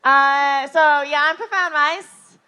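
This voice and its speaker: A woman on a live convention panel, energetic and giggly, who is losing her voice. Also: high voice, slow. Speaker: panelist and esports caster. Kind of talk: casual live panel chat. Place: convention stage before a crowd.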